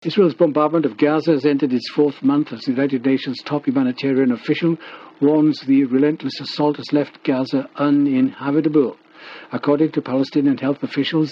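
Husky male newsreader on muffled radio. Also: medium pitch. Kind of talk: formal scripted news reading. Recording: dull, muffled shortwave radio recording.

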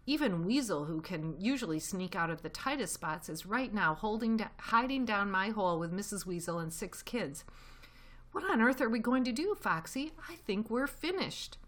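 A woman voicing an excitable, anxious male badger in a children's storybook, exclaiming in agitation. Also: medium pitch, moderate pace, pitched above the performer's own voice, close to an everyday voice. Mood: alarmed.

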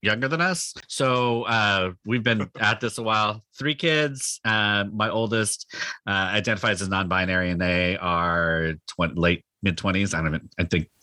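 A man's voice: lowish voice, moderate pace, sharp tone, no room sound. A man in his fifties with a Californian accent talks casually in an interview, rambling and joking at his own expense. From Northern California, United States. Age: 50s. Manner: self-deprecating, jokey, rambling. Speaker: pastor, podcast guest.

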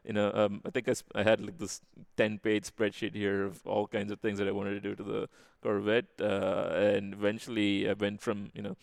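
A man's voice: lowish voice, completely flat delivery, normal speed, vocal fry, few pauses, dry recording, clean studio sound. A man from India with an Indian accent, chatting casually as a podcast guest. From Chennai, India. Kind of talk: casual interview chat.

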